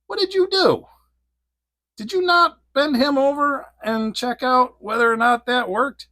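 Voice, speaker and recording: A man's voice: extremely high pitch, unhurried, sharp tone, dry recording, clean studio sound. A man in his forties reviewing an action figure in a casual, rambling way, laughing often between thoughts. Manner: rambling, giggly, lots of fillers. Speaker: toy reviewer.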